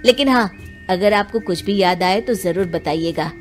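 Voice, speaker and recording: A woman's voice: mid-range voice, normal speed, soft background music, close to an everyday voice. Woman as an earnest, respectful police inspector. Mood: probing, respectful. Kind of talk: Hindi animated moral story dialogue.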